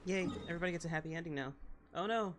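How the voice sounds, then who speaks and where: Very fast-talking, low-voiced woman gamer. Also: noisy background. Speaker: game player commenting. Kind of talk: casual gameplay commentary. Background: game music.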